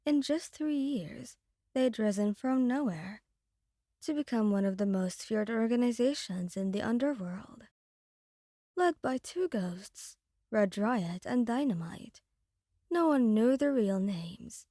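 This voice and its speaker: A woman's voice: a little high, animated, slow, warm tone, no echo, clean studio sound. A woman giving dramatic, scripted second-person narration for an ASMR audio roleplay.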